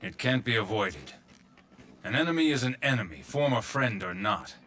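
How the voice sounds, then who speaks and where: An actor voicing a stern, resolute ancient Chinese warrior general in a video game, grave and blunt. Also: male voice, lowish voice, very animated, normal speed, pushed and bright, a little hiss or hum. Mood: grave, measured.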